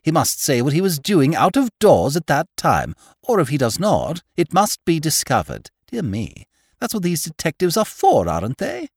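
A man's voice: fairly high pitch, huge pitch swings, moderate pace, vocal fry, husky, dry recording, clean studio sound, pitched above the performer's own voice, heavily acted. A man voicing a prim, insistent English matron with a fussy, pressing manner in an audiobook.

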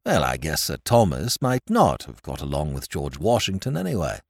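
A man's voice: a bass voice, wildly swooping pitch, normal speed, gravelly, no room sound, studio quality, voice pushed down, exaggerated voice. Man reading as a cool, needling young American. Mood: dry. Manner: drawling, coolly sarcastic. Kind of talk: audiobook character voice.

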